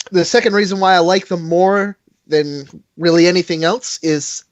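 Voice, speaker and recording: A man chatting casually as a podcast host, rambling and halting, with frequent hesitations. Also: fairly high pitch, dry recording, highs cut off. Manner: halting, rambling, chuckles often. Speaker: live stream host. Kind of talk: casual fan podcast chat.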